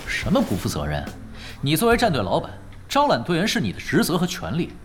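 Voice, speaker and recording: Chill teasing gamer dude in an audio drama, super lively intonation, music playing behind. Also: male voice, on the high side, brisk. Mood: glib, mock-serious. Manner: glib, teasing, mock-serious. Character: laid-back teasing male gaming veteran coaching his boss. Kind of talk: Chinese audio drama acting.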